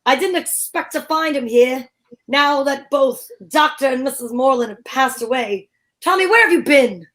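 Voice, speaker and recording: A woman voicing a smooth-talking, apologetic man who poses as a father in a radio play. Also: sits high, normal speed, fairly bright tone. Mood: fatherly. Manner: polite, apologetic. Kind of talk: old-time radio play acting.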